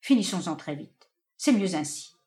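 A woman's voice: very animated, reverberant. Woman doing a cool, detached man. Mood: calm. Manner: low, quiet, unhurried.

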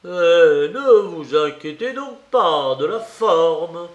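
A sly, needling examining magistrate from an audiobook, acted out by a man. He sounds falsely jolly and overly familiar, toying with his suspect. The voice is slightly high, slow and set in a reverberant room. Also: a bit bright, highs cut off. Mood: sardonic, familiar. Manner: goading, chuckling, theatrically familiar. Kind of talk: dramatized audiobook reading. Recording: roomy, echoing voice recording.

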